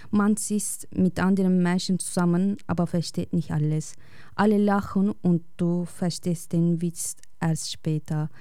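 A woman speaking as a radio guest, reading a prepared personal essay in a calm, reflective and measured way. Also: lowish voice, clean tone, no room sound, clean studio sound.

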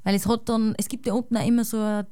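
A woman with a Salzburg Austrian German accent talks in a relaxed, chatty way, circling her thoughts with fillers and tag questions. Her voice is smooth and resonant with a dark, warm tone, recorded close and dry. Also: fairly high pitch, fast, dry recording. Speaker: guest musician. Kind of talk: casual conversation.

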